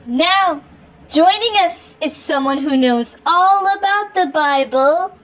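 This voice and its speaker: Very high, drawling cartoon cat host. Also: lively intonation, fairly bright tone, exaggerated voice, poor audio. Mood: cheery. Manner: slow, sing-song addressing of children. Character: chirpy feline kids' TV show host puppet. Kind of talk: parody children's show presenting.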